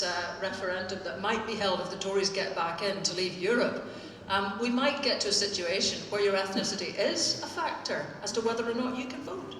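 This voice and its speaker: A woman's voice: fairly high pitch, a bit bright, slight background noise. A woman with a Northern Irish accent giving a witty, pointed talk to a live audience. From Northern Ireland. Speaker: speaker at a live political event. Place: theatre hall. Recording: echoey hall, speaker far from mic.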